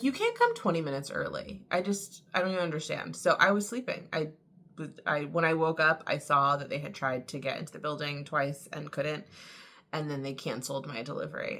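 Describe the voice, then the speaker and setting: A young woman chatting casually to camera in a vlog, telling a story with lots of "like" fillers; her voice is a little raspy and she sounds tired. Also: low-pitched, very animated, brisk, fairly bright tone. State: tired from early waking. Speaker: vlogger.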